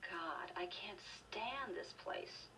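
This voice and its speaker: An actress playing a bitter, fed-up young daughter in a video game, sullen and emphatic. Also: female voice, on the low side, expressive, slow, gravelly, bright, forceful tone, recorded from a distance, exaggerated voice. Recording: game audio filmed off a TV, noisy.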